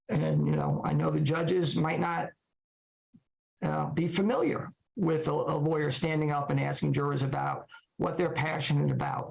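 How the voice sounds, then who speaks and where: Hoarse, gravelly-voiced man. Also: a little high. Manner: halting, many fillers and rhetorical questions. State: hoarse, recovering voice. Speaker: trial lawyer podcast guest. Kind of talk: reflective solo talk. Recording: dull lo-fi podcast audio.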